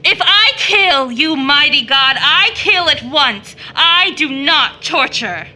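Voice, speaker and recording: An actress playing an anguished, bitterly defiant young woman in an audio drama, with impassioned, halting theatrical delivery. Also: high voice, expressive, full character voice. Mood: bitter, hysterical defiance. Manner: impassioned, halting, swinging from hushed to fierce.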